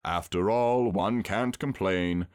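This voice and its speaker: A man voicing a gloomy, pompous, self-pitying donkey in a drawn-out, sardonic manner for a storybook. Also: deep voice, a little mellow, smooth. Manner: ponderous, drawn-out, sardonic speech-making.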